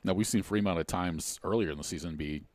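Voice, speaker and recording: Low-voiced male baseball color commentator. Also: fast talker, darkish tone, no room sound. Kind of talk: conversational sports analysis.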